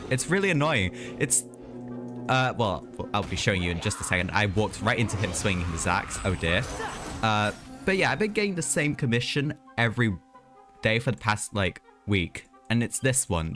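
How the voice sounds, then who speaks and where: A man chatting casually and ramblingly as he streams a video game, talking to his viewers. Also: medium pitch. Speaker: live streamer. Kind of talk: casual gaming livestream chat. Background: music.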